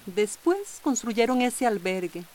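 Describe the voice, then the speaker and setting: A woman's voice: fairly high pitch, big pitch swings, takes it slowly, a little mellow. An actress playing a weary, distrustful villager attached to her home, plaintive and sighing, in a radio drama. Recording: rain and thunder noise behind.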